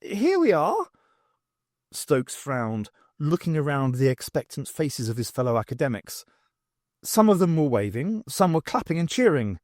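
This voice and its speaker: A man's voice: medium pitch, lively intonation, normal speed, a little mellow, no echo, clean studio sound. A man narrating a scripted story as an audiobook reader.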